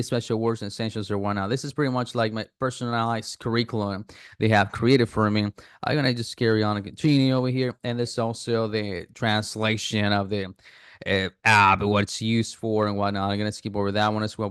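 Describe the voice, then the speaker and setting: A man's voice: on the low side, fairly even intonation, a little fast, clean tone, no room sound, studio quality. A man casually talking viewers through signing up for an app, thinking aloud with fillers and restarts. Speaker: app reviewer. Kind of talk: casual screen-recording walkthrough.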